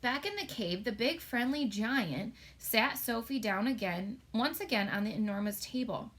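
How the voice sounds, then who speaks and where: A woman's voice: on the high side, animated, moderate pace, a bit bright. A woman teacher giving a casual read-aloud, chatty and explanatory as she addresses young pupils. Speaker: elementary school teacher.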